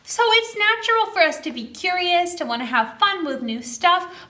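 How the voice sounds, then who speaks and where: A woman's voice: sits high, echoey room. A woman hosting a children's story time, reading a picture book expressively and putting questions to her young listeners. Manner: expressive, asks the listening children questions, exaggerated slow delivery.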